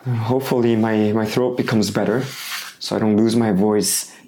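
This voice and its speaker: Hoarse pastor with a cold, halting sermon. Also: male voice, lowish voice, fairly even intonation, warm tone. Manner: halting, with frequent restarts and fillers.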